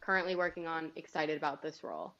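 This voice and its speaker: A woman's voice: low voice, fast, fairly bright tone, lo-fi sound. Woman, co-host, casual chat, chatty, laughs easily.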